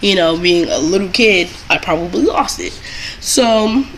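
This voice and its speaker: A woman's voice: fairly low pitch, animated, a little slow, slight background noise, rough recording. A young adult woman telling a casual, chatty story to viewers, rambling and often circling back to repeat herself. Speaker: doll collector vlogger telling a story.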